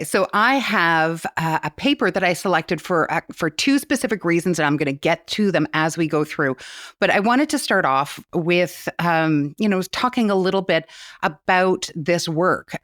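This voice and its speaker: A woman's voice: fairly low pitch, fairly bright tone, no echo, clean studio sound. A woman co-hosting an academic podcast, presenting a paper in an earnest, thoughtful way with frequent fillers. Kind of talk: podcast discussion.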